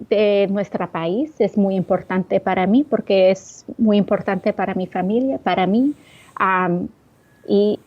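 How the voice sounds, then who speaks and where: A young woman from the Bronx speaks as an interview guest, feeling her way through each sentence in her second language. She restarts phrases and pauses on fillers. Her voice sits at an ordinary pitch and comes through slightly muffled. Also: a little mellow. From the Bronx, New York. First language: English. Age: young adult. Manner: hesitant, searching for words, frequent restarts. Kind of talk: interview answers.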